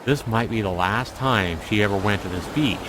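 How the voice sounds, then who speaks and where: A man reading a story aloud as a streamer, in expressive narration with casual asides. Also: fairly low pitch, normal speed, very noisy. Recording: music playing behind the voice. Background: music.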